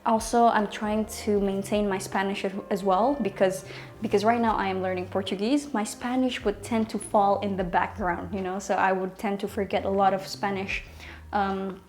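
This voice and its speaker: A woman's voice: mid-range voice, fairly even intonation, moderate pace, a little mellow. A young woman, a language teacher giving casual advice in a vlog, talking in a chatty way with many ums and restarts, recorded in a small bedroom. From Indonesia. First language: Indonesian.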